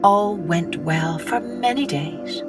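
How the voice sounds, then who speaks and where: A woman narrating a scripted children's story in an expressive storytelling manner. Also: mid-range voice, slow pace, over loud music. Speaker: storytelling podcast host and narrator.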